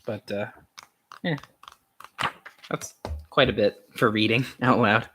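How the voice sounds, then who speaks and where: Man reading aloud, halting delivery. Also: lowish voice, a bit bright, a little hiss or hum. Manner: halting, stumbles and corrects himself. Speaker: amateur reader of a philosophy essay. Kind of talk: reading aloud from an essay, with casual asides.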